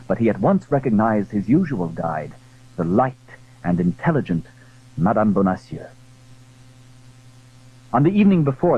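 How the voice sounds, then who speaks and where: A man narrating a classic adventure story in a dramatic, scripted style, with a husky voice. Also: medium pitch, narrow, tinny audio.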